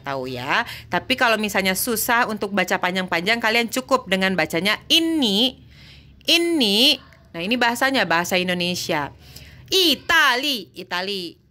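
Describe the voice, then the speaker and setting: A woman teaching Mandarin vocabulary, drawing out model words slowly and correcting herself as she goes. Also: medium pitch, bright, forceful tone. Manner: slow, drawn-out model pronunciation, self-correcting. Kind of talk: language lesson explaining vocabulary.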